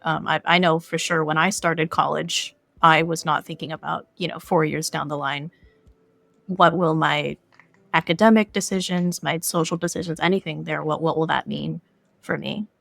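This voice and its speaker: A woman's voice: low-pitched, steady tone, moderate pace, vocal fry, fairly bright tone, dry recording. A woman speaking reflectively and conversationally as a guest game developer on a podcast. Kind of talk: podcast interview, casual reflection.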